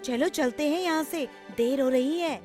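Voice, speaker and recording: Woman playing a high-voiced worried young friend. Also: normal speed, a little mellow, soft background music, close to an everyday voice.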